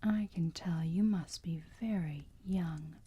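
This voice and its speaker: A woman playing a motherly, teasing vampire who soothes a wounded enemy in a slow, breathy whisper, in an ASMR roleplay. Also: fairly low pitch, dark tone, full character voice. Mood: musing. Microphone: close microphone.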